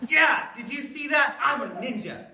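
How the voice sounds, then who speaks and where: A woman playing an eager, chatty young grandson in a staged play reading, with an excitable, childlike manner. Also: high voice, normal speed, heavily acted. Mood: excited. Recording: echoey room, background noise.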